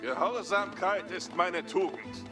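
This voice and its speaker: An actor playing obedient, honourable medieval knights and monks in short scripted game lines. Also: male voice, sits high, unhurried, over loud music. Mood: dutiful, solemn.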